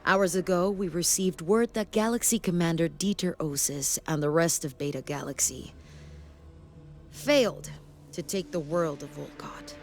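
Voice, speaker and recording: An actress playing a stern, authoritative sci-fi military commander in a video game, with formal, clipped briefing delivery. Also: female voice, lowish voice, unhurried, darkish tone. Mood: grave, resolute. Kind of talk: video game cutscene acting.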